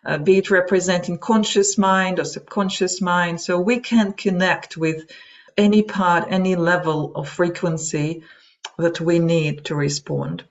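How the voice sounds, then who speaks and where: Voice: medium pitch, steady tone, a little slow. A native Russian speaker with a Russian accent, presenting an explanatory webinar lecture in informal, hesitant phrasing with frequent asides. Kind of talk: explanatory lecture to an online audience. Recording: dull lo-fi webinar audio.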